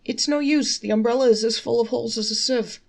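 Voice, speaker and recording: A woman's voice: fairly high pitch, fairly quick, gravelly, a little mellow, voice pushed up. A woman voicing a simple-minded village sage from a folktale, naive and matter-of-fact. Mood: naive certainty. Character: foolish sage of Chelm with useless umbrella, simple-minded.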